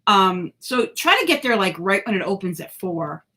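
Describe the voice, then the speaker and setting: A woman's voice: mid-range voice, very animated, moderate pace, pushed and bright. A woman co-hosting a casual podcast, talking in an everyday mid-range voice. She is chatty and self-deprecating, and she sometimes stumbles over a word before pressing on. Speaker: podcast co-host. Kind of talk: casual chat.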